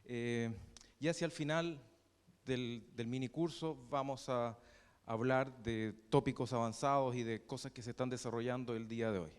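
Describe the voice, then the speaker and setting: A young man with a Chilean Spanish accent teaches a math class at a middling pitch, pausing often on fillers and restarting his sentences. The recording carries the long reverb of a lecture hall. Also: steady tone, clean tone. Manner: halting, with many fillers and restarts.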